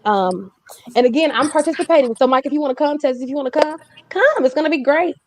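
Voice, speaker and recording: A woman's voice: high voice, animated, quick pace, background noise. A woman hosting a casual livestream chat, talking in an informal, chatty way with joking asides. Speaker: host of a music community livestream.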